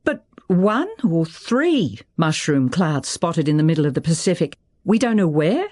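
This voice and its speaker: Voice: medium pitch, sing-song, measured pace, a little mellow. A narrator voicing a brusque, decisive woman official who speaks urgently and questions hard.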